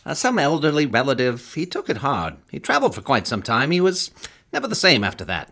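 An actor playing a polite, fussy and uneasy building manager with a hesitant, deferential manner in a radio drama. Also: male voice, medium pitch, wildly swooping pitch, a little fast, vocal fry, sharp tone, slight background noise, full character voice. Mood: uneasy, sympathetic. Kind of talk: 1920s-style radio drama acting.

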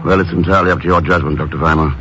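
Male actor as cool secret agent, very low voice, understated reassuring delivery, muffled vintage radio drama. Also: steady tone, rapid-fire, lightly acted. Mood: polite, understated. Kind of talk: 1950s radio drama acting. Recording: muffled, noisy old radio recording.

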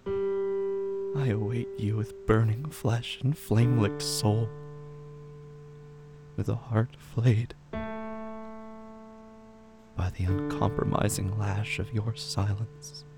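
Man acting a gravelly, brooding horror narrator. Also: on the low side, steady tone. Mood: solemn, tormented. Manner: slow, solemn, intense delivery, at times near a whisper. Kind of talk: literary horror audio drama narration. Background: music with guitar.

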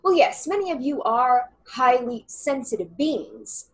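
A woman channeling a loud, formal galactic being. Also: high-pitched, expressive, a little slow. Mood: formal, welcoming. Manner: formal, speaks as "we". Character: strong direct female galactic being. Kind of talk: channeling session.

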